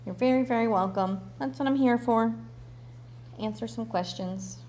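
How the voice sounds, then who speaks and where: A woman's voice: fairly high pitch, moderate pace, darkish tone, a little hiss or hum. A woman hosting a casual livestream Q&A, reading viewer comments aloud and answering in a loose, chatty way, thinking aloud with fillers and restarts. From born in Russia, grew up in the United States. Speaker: relocation consultant hosting a livestream Q&A.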